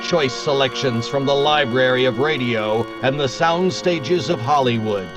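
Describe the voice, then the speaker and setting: Male actor as 1940s thriller-show announcer: stately, dramatic, slow-paced, medium pitch. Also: over music, lightly acted, rough recording. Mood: formal, suspenseful. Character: formal 1940s radio announcer introducing thrillers.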